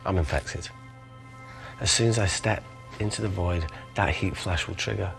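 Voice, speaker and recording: A man's voice: low voice, normal speed, vocal fry, soft background music. An actor in his thirties playing an earnest, wry, self-sacrificing lab scientist in a naturalistic film style. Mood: resolute.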